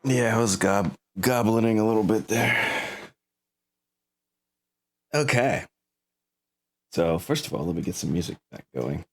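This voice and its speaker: A man's voice: on the low side, expressive, measured pace, long pauses. A man chatting casually as game master of a tabletop game, rambling and correcting himself as he goes.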